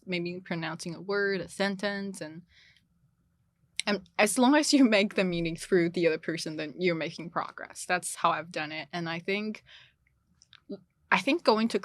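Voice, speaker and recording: A woman's voice: on the low side, fairly bright tone, no echo. Chatty young woman, slight Chinese accent. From China. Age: young adult. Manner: chatty, laughs often, self-correcting restarts. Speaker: guest, international student. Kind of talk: casual podcast chat. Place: small studio.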